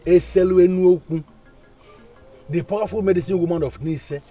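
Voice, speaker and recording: A measured, thoughtful man with a mellow, darkish voice. He sounds set back from the mic, with faint music underneath. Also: high voice, steady tone. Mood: grave. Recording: distant voice.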